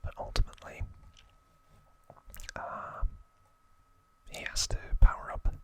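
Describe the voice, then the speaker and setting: Slow whispering man, ASMR. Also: fairly bright tone. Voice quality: whispered, breathy, unvoiced. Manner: slow, hesitant, informal explaining. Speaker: ASMR video host. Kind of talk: whispered ASMR recap commentary, casual.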